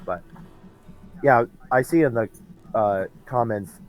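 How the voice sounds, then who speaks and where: A man with a gravelly, hoarse voice from a cold, talking casually and hesitantly about the games he won. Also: mid-range voice, slow pace, highs cut off, faint music behind. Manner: hesitant, restarts often. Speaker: tournament winner, interviewee. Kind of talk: casual post-match chat.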